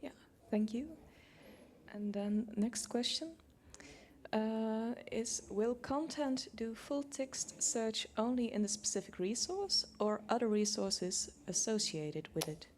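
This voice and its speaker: A woman moderates the Q&A at a tech conference, calling on people and reading out questions sent in online. Her voice sits a little high and she keeps things moving briskly. A faint hum of room noise sits under her. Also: animated, darkish tone. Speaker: session moderator. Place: conference hall.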